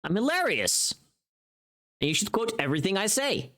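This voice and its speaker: A woman's voice: mid-range voice, big pitch swings, moderate pace, fairly bright tone. A woman livestreamer chatting casually in a playful, teasing, informal way, often thinking aloud and trailing off.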